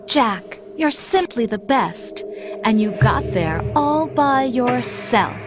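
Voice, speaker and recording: Calm, mocking machine mind. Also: female voice, on the high side, takes it slowly. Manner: soft, calm, mocking persuasion. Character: calm female machine voice, coldly persuasive. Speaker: game character. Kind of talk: video game cutscene voice acting. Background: dramatic music score.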